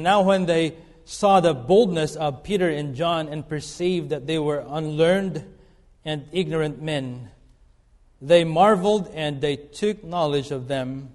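Preacher in a reverberant church. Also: male voice, on the high side, a little mellow. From Philippines. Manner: earnest, halting with fillers and restarts. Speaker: guest preacher. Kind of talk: sermon. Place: church sanctuary. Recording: long reverb of a church hall.

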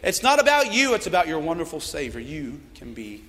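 Hoarse, high-voiced preacher man. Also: wildly swooping pitch, brisk, in a large hall, slight background noise. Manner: swings between loud fired-up calls and low earnest appeals. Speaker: youth pastor. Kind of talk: sermon.

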